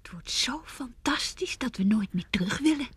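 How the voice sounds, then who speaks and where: Very breathy, husky woman in radio drama. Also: on the high side, lively intonation, moderate pace, dry recording. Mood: coaxing, teasing. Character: coaxing, self-assured woman, persuasive with her sweetheart.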